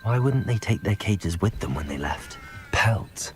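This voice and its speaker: A man's voice: lowish voice, lively intonation, unhurried, music in the background. An actor playing an earnest, curious young male wizard in a video game, polite and thoughtful. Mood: investigating, thoughtful. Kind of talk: video game cinematic acting.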